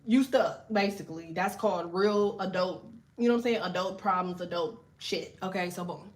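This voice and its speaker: A middle-aged woman, a tarot reader, telling a long story to her viewers in loose, profane slang, often breaking in with "okay?". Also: normal speed. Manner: loose, profane, chatty, lots of "okay?" and "so boom".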